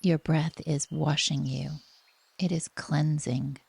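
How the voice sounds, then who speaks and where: A woman with a soft, breathy voice gently leading a guided relaxation meditation in a soothing, unhurried manner. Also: low voice, steady tone, no room sound.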